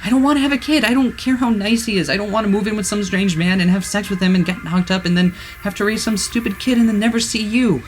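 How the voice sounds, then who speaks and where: A man's voice: a little high, expressive, fast talker, soft background music, lightly acted. A man voicing a tearful, poor young woman who sells flowers, talking in a wavering, pleading voice. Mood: distraught.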